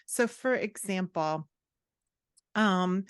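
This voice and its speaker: A woman's voice: mid-range voice, extremely slow, clear, resonant tone, no echo, clean studio sound. A woman speaking as an interview guest, an author and writing coach who explains things in a conversational way.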